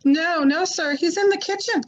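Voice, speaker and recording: A woman's voice: extremely high pitch, moderate pace, muffled recording. A woman playing a flustered widow in a 1940s-style radio mystery, talking in a breathless, stammering way. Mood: polite. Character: flustered middle-aged widow, woman, quick to defend herself.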